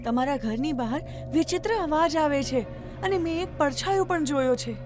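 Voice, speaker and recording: A woman's voice: high voice, moderate pace, voice pushed up, lightly acted. A woman voicing a nervous, gossiping village neighbour in a children's story, confiding and fearful. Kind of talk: children's cartoon story dialogue. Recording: music bed behind voice.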